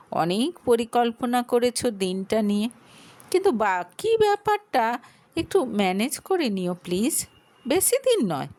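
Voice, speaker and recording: A woman narrating a romantic audio story with a Bengali accent, telling it slowly and expressively. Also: no echo. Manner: slow, expressive storytelling with lively pitch.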